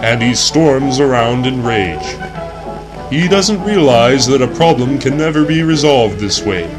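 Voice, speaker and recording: A man's voice: mid-range voice, lively intonation, measured pace, rough recording. Earnest young man narrating over music. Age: young adult. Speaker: narrator of a student film. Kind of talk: scripted narration, parody of 1960s educational film.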